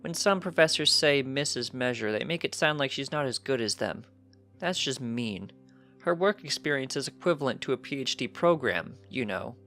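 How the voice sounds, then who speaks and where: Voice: medium pitch, expressive, normal speed, fairly bright tone, faint music behind, pitched above the performer's own voice. A narrator voicing a precocious young pony colt, earnest and cheeky, chatting eagerly in a matter-of-fact way for a story reading. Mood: insistent.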